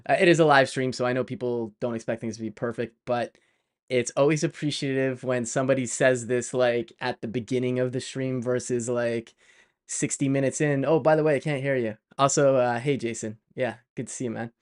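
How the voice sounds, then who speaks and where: Canadian dude streaming, smooth clear voice, rambly, laughs a lot, lots of "like" and "uh". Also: male voice, medium pitch, steady tone, a little fast. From Canada (Montreal). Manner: chatty, laughs often, many fillers and restarts. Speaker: live streamer. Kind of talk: casual live-stream chat.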